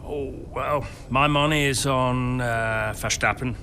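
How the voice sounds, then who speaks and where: An actor playing a kindly, modest older racing mentor with wry humor, speaking hesitantly in a naturalistic game cutscene. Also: male voice, mid-range voice, fairly even intonation, takes it slowly, sharp tone, slight background noise. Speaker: veteran racing driver and mentor.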